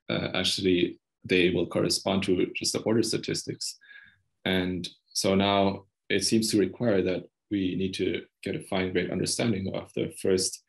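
Low-voiced young man, creaky, presenting. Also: low-quality recording. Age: young adult. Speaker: researcher presenting a paper. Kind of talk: technical conference talk over slides.

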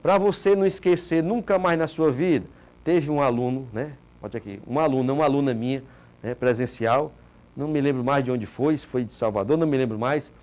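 Animated man teaching in a studio. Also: medium pitch, fairly quick. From Ceará, Brazil. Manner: animated, asks rhetorical questions and repeats key points. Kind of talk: recorded online exam-prep lecture. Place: green studio set.

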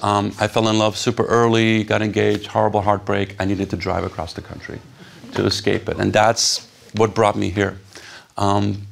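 A man in his fifties from Poland, whose first language is Polish, giving an animated, conversational stage talk with many fillers to a seated audience. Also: lowish voice, creaky. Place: stage before a seated audience in a dark hall.

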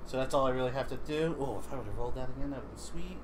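A man's voice: medium pitch, racing. A man chatting casually while playing a tabletop game and reading rules aloud. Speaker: board game player. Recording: clearly noisy livestream room recording.

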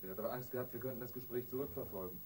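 An actor playing a plain, earnest junior police detective in a radio crime drama. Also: male voice, medium pitch, very fast, mellow, close to an everyday voice. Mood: matter-of-fact. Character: matter-of-fact junior male police detective. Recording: distant from mic, faint noise.

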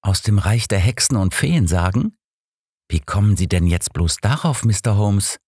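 Guy as older sceptical doctor sidekick in an audiobook, formal, bit baffled, lively intonation, dry sound. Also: lowish voice, moderate pace, slightly rough, no echo. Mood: puzzled. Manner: formal, incredulous. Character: older male doctor, loyal, puzzled, sceptical sidekick of detective. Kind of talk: audiobook character reading.

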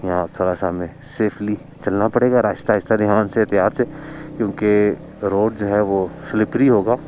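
A man's voice: fairly low pitch, fairly even intonation, a little fast, a little mellow, slight background noise, rough recording. A man chatting casually while riding a motorbike outdoors on a snowy mountain road, marveling at the scenery and chuckling often. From Pakistan. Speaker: vlogger. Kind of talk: casual motorbike ride commentary.

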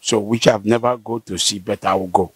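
An older man with a southern Nigerian accent, explaining local history conversationally and haltingly, with affirming hums. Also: lowish voice, fairly even intonation, husky, dry recording. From Rivers State, southern Nigeria. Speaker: interviewee, local elder. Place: outdoors, seated by corrugated metal fence. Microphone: handheld interview mic held by host.

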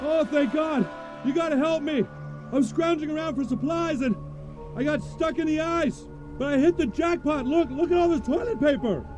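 A man's voice: extremely high pitch, normal speed, music in the background. A man voicing a frantic, greedy boy who babbles and stalls with fillers. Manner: babbling and hesitant, full of ums. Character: greedy, frantic boy hoarding toilet paper.

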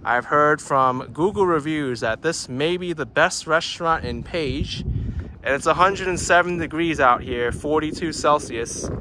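A man's voice: fairly high pitch, measured pace, background noise. Man eagerly tasting food on camera. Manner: enthusiastic, savoring each bite with "mm". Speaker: food vlogger. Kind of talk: casual food vlog narration. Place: roadside restaurant in a hot desert town.

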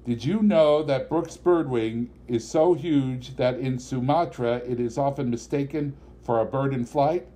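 A free-spirited young woman as read by a male narrator in a storybook. She is serene, whimsical and lyrical, with a soft, mellow tone. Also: on the high side, lightly acted.

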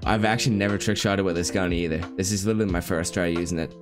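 A man's voice: deep voice, fairly even intonation, fast, over music. A young man in his twenties with a stuffed-up, nasal voice from a cold, chatting upbeat over gameplay as a gaming YouTuber. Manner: upbeat, hyped, chatty with friend. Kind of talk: casual gameplay commentary. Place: small room at home.

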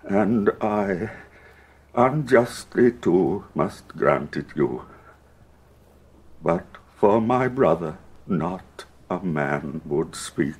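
A grieving angry king, shakespeare radio play, really slow and low, drawn-out anguish. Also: lively intonation, a little mellow, slightly rough, exaggerated voice. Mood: remorseful, sorrowing. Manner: declamatory, slow and anguished, with heavy pauses. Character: grief-stricken, wrathful, ailing English king. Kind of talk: classical Shakespearean audio drama acting.

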